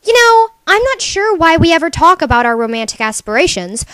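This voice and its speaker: Woman voicing a very high, bubbly scolding alien sea girl. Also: big pitch swings, fairly quick, bright, forceful tone, no room sound, exaggerated voice. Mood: teasing, indignant. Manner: exclamatory, teasing, emphatic. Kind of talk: character voice reel for a webcomic.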